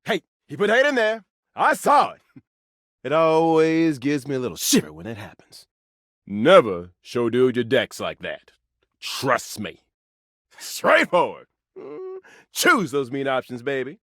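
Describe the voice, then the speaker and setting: A man's voice: mid-range voice, huge pitch swings, measured pace, fairly bright tone, no echo, studio quality. A man chatting casually while playing a video game. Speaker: game player. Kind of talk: casual gameplay chat.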